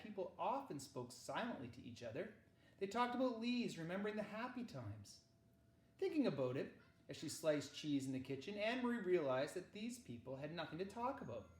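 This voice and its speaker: A man reading a children's novel aloud as narrator, with measured, dramatic phrasing. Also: medium pitch, darkish tone. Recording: echoey, roomy sound.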